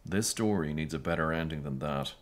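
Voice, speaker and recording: Deep-voiced sardonic man. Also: fairly quick. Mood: needling, amused. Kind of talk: radio drama dialogue.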